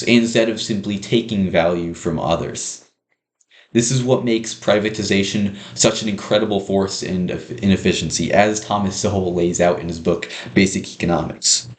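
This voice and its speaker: Young male student speaker, slightly low pitch, earnest persuasive delivery, echoing room sound. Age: young adult. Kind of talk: prepared persuasive speech.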